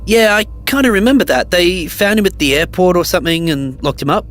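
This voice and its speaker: A man's voice: high voice, a little fast, a bit bright, soft background music. A man acting a cautious survivor. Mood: tentative. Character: cautious, practical male dystopia survivor. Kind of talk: audio drama acting.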